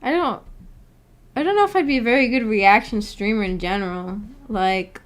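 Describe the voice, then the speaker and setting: A woman's voice: medium pitch, normal speed. A woman hosting a casual livestream, reading out and answering viewer comments in a giggly, hesitant, self-effacing way. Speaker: livestream host.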